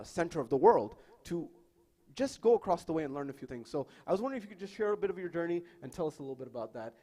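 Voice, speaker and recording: Male panel moderator, slightly high pitch, emphatic storyteller addressing a live forum. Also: darkish tone. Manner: emphatic, rhetorical repetition. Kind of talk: animated public talk with storytelling.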